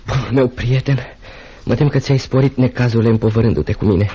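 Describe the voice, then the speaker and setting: A man's voice: mid-range voice, natural voice. Man playing a meek, fearful runaway, dull recording. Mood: meek, worried. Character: meek, fearful runaway school drudge, devoted. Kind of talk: Romanian radio theatre acting. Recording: dull, noisy lo-fi radio recording.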